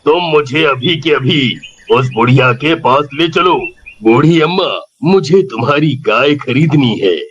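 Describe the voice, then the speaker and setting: Male actor as pompous cartoon headman, greedy, unhurried theatrical speech, medium pitch, slightly dull recording. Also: lively intonation, fairly bright tone, slight background noise. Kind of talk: Hindi cartoon story voice acting.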